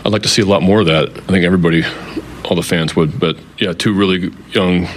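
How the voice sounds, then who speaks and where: A man, a baseball manager, answering at a post-game press conference in a halting, understated way. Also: low voice, fairly even intonation. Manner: halting, understated, hesitant phrasing.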